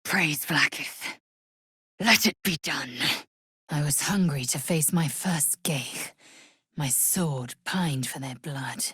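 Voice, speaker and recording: A proud, zealous young warrior woman from a fantasy video game. Her voice is low and very husky, and she speaks in slow, clipped, formal phrases with imperious disdain. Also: sharp tone, no echo, studio quality, heavily acted. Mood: scornful, bloodthirsty. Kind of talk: video game character voice acting.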